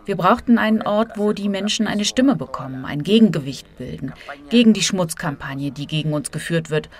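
A woman's voice: on the low side, expressive, moderate pace. A woman reading a scripted radio feature as a correspondent, narrating and voicing translations of quotes.

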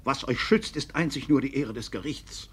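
An actor playing a stern, authoritative court inspector, commanding and impatient, in a classic comedy radio play. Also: male voice, medium pitch, moderate pace, a bit bright, husky.

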